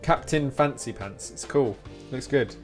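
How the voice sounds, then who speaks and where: A man's voice: mid-range voice, unhurried. A man streaming a game, chatting informally with rambling explanations. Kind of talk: casual live-stream chat while gaming. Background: game music.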